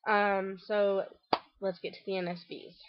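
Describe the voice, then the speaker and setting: A woman's voice: medium pitch, little pitch movement, a little slow, highs cut off, slight background noise. A woman with a congested, stuffy nose gives a chatty, rambling video diary update, full of ums and restarts. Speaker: vlogger. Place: covered back deck outdoors.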